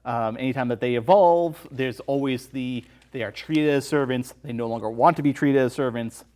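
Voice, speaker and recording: A man's voice: mid-range voice, moderate pace, a little mellow. A man talking as a podcast guest, analysing films in an explanatory, conversational way.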